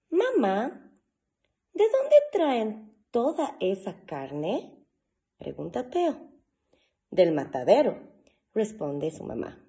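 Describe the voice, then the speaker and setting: A woman's voice: medium pitch, mellow. A woman reading a children's storybook aloud with expression, like an elementary school teacher.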